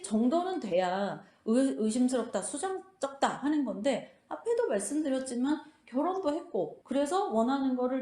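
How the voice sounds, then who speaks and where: A woman's voice: a little high, darkish tone. A woman hosting a book club lesson, explaining and commenting on questions in a conversational way. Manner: conversational, acts out imagined reactions in quotes. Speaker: host of a fairy tale book club channel. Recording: roomy, echoing indoor sound.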